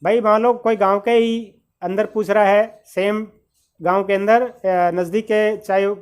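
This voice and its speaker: High-voiced, slow teacher man. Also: little pitch movement, smooth. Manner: patient, repeats each phrase, calls listeners "brother". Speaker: English teacher. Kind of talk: beginner spoken-English lesson.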